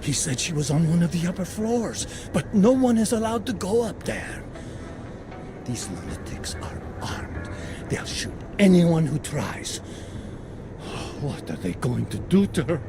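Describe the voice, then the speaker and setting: A man's voice: a little high, very animated, unhurried, over music. An actor playing a frightened, desperate father in a video game, urgent and agitated. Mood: fearful. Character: desperate, frightened father searching for his daughter. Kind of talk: cinematic video game acting. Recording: noisy ambience behind the voice.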